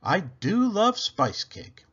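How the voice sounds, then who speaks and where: Guy doing a cheerful earnest housekeeper lady for a kids book, high voice, slow and very singsong. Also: sing-song, a bit bright, slightly rough, muffled recording, exaggerated voice. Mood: cheerful, busy. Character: literal-minded, cheerful, eager housekeeper woman.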